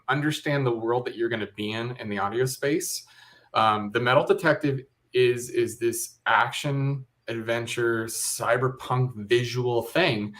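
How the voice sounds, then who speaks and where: A man with a mid-pitched voice gives an interview as a director. He explains things step by step in a loose, informal manner, thinking aloud as he goes. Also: a bit bright.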